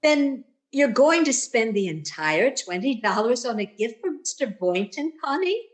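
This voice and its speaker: A woman's voice: a little high, big pitch swings, measured pace. A woman playing a motherly older landlady in a radio sitcom, wheedling and guilt-tripping with martyred self-effacement. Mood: feigned acceptance.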